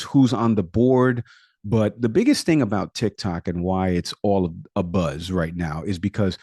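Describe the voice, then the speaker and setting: A Puerto Rican man co-hosting a podcast, his voice low and mellow. He talks casually, piling up figures between "uh"s and "you know"s. The sound is close and dry. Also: no room sound. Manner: conversational, rattles off statistics with frequent fillers. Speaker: podcast co-host; product manager.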